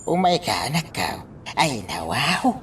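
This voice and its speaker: An actor playing a gentle, coaxing elderly father in a radio drama. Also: mid-range voice, sing-song, takes it slowly. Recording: noisy, distant microphone.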